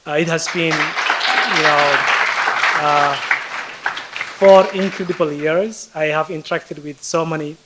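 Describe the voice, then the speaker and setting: Male guest speaker, thirties, Somali accent, fairly high voice, telling his own story to an audience. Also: steady tone, slow, long pauses, over a noisy crowd, heavy background noise. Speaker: guest speaker, PhD student. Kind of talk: personal speech to an audience.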